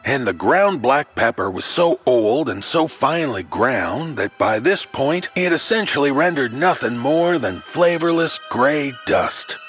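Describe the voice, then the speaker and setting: A man tells a scripted horror tale with theatrical flair over a soft musical score. His mid-pitched voice swoops expressively as he addresses the listener in a wry, folksy manner. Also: expressive, unhurried, few pauses, soft background music. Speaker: horror anthology storyteller.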